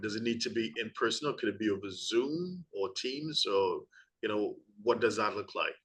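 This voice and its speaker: A man's voice: fairly low pitch, lively intonation, moderate pace, fairly bright tone, breathy edge. A man hosting an interview, asking polite, conversational questions. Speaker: podcast host.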